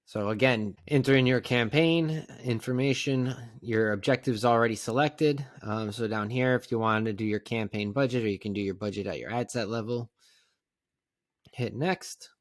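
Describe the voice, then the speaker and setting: A man narrating a casual step-by-step software tutorial in a plain, conversational, instructional way. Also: medium pitch, moderate pace, no room sound, studio quality. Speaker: tutorial presenter.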